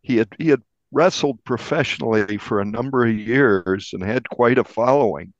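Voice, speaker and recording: A mature man with an American accent, a reporter recounting a story conversationally in a measured, slightly halting way. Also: medium pitch, dry recording. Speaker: newspaper reporter interviewed. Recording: dull, slightly lo-fi, dry.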